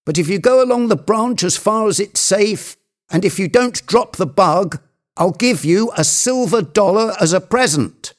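Man acting an excitable, bossy man, swooping high. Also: moderate pace. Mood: stern. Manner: imperious, agitated, exclaiming. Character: excited, bossy, obsessive man giving urgent orders.

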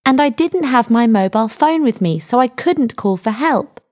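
A woman's voice: lowish voice, animated, moderate pace, natural voice. A woman voicing an indignant sixteen-year-old girl in a story, firm, impatient and emphatic. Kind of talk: audiobook character dialogue.

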